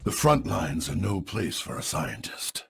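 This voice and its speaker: Casting: grizzled game soldier, low, gravelly, terse and stern. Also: male voice, big pitch swings, measured pace, fairly bright tone, full character voice. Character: grim, gruff ageing soldier vigilante. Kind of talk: video game character acting.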